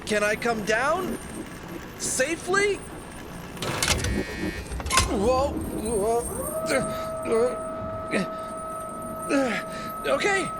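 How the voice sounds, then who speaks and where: A woman playing a wisecracking fox adventurer in an audio drama, wry and bantering, cocky but quick to get flustered. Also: sits high, very noisy. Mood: panicked. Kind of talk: audio drama cartoon-like acting.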